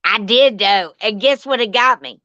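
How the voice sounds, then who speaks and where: A woman drawls slowly in a casual chat, chiming in and laughing. Her voice is gravelly with a bright edge. The sound is dry and dull, with a lo-fi feel. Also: medium pitch, no room sound. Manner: slow, drawling, chimes in, laughs often. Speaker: co-host.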